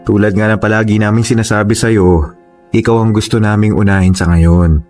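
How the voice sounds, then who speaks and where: A man's voice: fairly low pitch, steady tone, a little fast, a little mellow, close to an everyday voice. A man acting a domineering, jealous young father and boyfriend in a radio drama. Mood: gentle, fatherly. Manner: domineering, intense. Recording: music under the voice.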